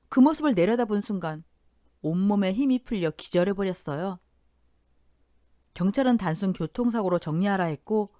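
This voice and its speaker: A woman narrating a family story in radio-drama style, calm and heartfelt. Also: mid-range voice, dry recording, clean studio sound.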